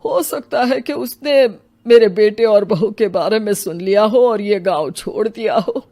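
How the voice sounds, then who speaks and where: Woman acting a slow, grieving elderly father. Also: mid-range voice, warm tone. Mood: reflective. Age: older. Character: grieving, weary elderly father, polite and sorrowful. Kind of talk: dramatized audio storytelling. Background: music.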